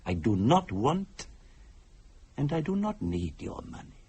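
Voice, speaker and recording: An actor playing a grave, middle-aged male medium from India, speaking formally and deliberately in a radio drama. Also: medium pitch, big pitch swings, dark tone, slightly rough, heavily acted. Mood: solemn.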